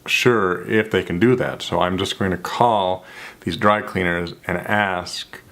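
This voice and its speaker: A man's voice: sits low, fairly even intonation, fairly bright tone. A man who stutters, with repeated sounds and restarts, talking earnestly and reflectively to camera in a vlog. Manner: measured, earnest, self-reflective. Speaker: vlogger.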